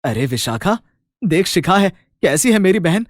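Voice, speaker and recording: A man voicing a humble, forgiving village farmer, an affectionate elder brother in an animated moral story. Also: high-pitched, normal speed, no room sound, clean studio sound, pitched above the performer's own voice. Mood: welcoming.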